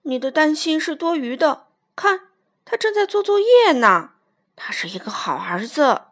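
A woman voicing a calm, reassuring father mouse in a children's story. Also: high-pitched, measured pace, full character voice.

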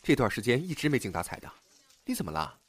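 A man's voice: medium pitch, big pitch swings, fast talker, breathy edge. A man acting as a nagging, critical office colleague in a radio suspense drama.

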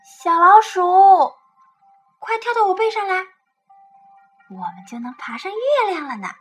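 A woman's voice: very high voice, sing-song, measured pace, a bit bright, soft background music, pitched above the performer's own voice, full character voice. A woman voicing a keen, encouraging monkey in a children's storybook, calling out to a small friend.

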